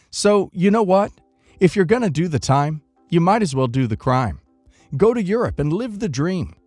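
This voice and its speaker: A man narrating first-person online stories from a script in an expressive reading manner. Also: fairly high pitch, no echo.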